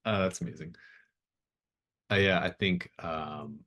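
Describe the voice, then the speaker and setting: Very deep-voiced man running a tabletop game. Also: steady tone, a little slow, fairly bright tone. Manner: hesitant, thinking aloud, conversational. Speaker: game master. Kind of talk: improvised tabletop game narration.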